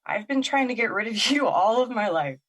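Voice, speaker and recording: A woman's voice: on the high side, animated, a little fast, a bit bright. A woman acting in an audio drama as a resentful, skeptical young woman, plain-spoken and bitter. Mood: bitter, hurt.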